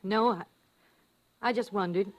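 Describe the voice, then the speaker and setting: A woman's voice: medium pitch, a little slow, natural voice. An actress playing an anxious, hesitant American housewife and mother in an old-time radio drama. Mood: nervous, evasive. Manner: hesitant, stammering restarts. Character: anxious suburban housewife and mother hiding her fear. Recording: noisy vintage radio recording.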